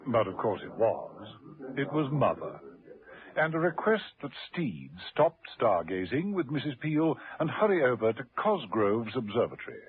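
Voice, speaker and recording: A storyteller narrates a suspense serial on radio with a lively, swooping delivery. His medium-pitched voice sits in a narrow, old broadcast sound over music. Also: moderate pace, husky. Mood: measured, wry. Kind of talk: scripted radio thriller narration. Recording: band-limited old radio recording with music.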